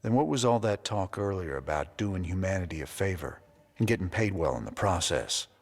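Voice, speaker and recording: Husky, drawling rebel commander. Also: male voice, deep voice, animated, a little fast. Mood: confronting. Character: rugged rebel commander, wry, determined space cowboy. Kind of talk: video game cutscene acting. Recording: reverberant room with music underneath.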